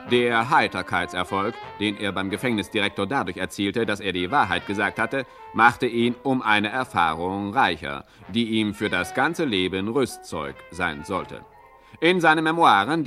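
A man's voice: fairly low pitch, fairly bright tone. A man narrating a 1960 German radio crime satire in a formal, mock-sensational manner.

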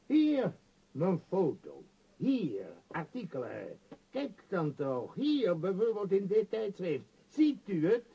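A man's voice: high-pitched, wildly swooping pitch, slow, gravelly, mellow, husky, lo-fi sound, heavily acted. An actor in a radio play voicing a vain, powerful middle-aged male tycoon with a pompous, emphatic, scornful manner. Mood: boastful. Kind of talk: radio drama acting.

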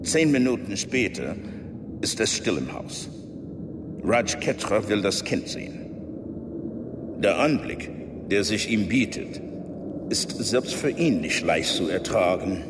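A man's voice: fairly low pitch, expressive, takes it slowly, pauses often. A man acting as a sober, matter-of-fact chronicler of grim events in a radio drama. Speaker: narrator. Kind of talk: documentary-like radio drama narration. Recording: loud ambience and vehicle noise behind.

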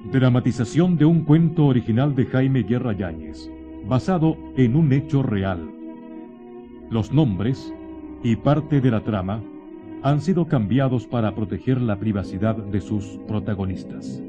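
A man giving dramatic scripted radio narration in a solemn, deliberate manner. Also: mid-range voice, expressive, highs cut off, over music.

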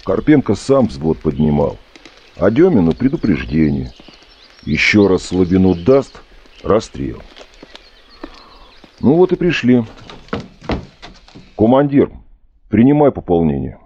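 A middle-aged frontline soldier in a war drama. The voice is very low and mellow, gruffly fatherly and fatalistic. The sound is slightly dull, with faint noise behind it. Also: very animated, takes it slowly, long pauses, full character voice. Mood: matter-of-fact. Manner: folksy, plain-spoken, steadying. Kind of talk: audio drama acting.

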